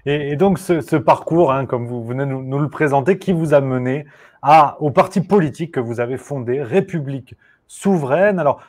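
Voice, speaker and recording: A man hosting an interview, asking questions in a measured way and summing up points from his notes. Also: medium pitch. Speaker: interviewer, host.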